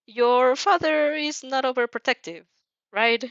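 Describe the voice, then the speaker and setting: A woman's voice: high-pitched, measured pace, a bit bright, dry recording, voice pushed up. An uncertain, good-natured schoolboy as a woman reader plays him, awkward and full of hesitant questions. It is a fan fiction dub with a dry, slightly muffled sound.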